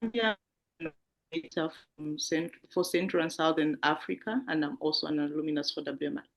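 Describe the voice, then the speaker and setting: A woman's voice: low voice, a little fast, vocal fry. A woman, a regional coordinator, giving a hesitant introduction over muffled call audio. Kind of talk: brief self-introduction.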